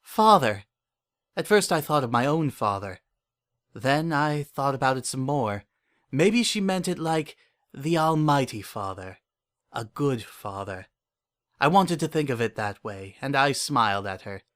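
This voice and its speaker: A man's voice: mid-range voice, sing-song, a little slow, a bit bright. A man playing a lonely, delusional, obsessive narrator in a first-person dramatic story reading, with an earnest and uneasy intensity. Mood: proud, adoring. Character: lonely, obsessive, delusional man confiding his private devotion.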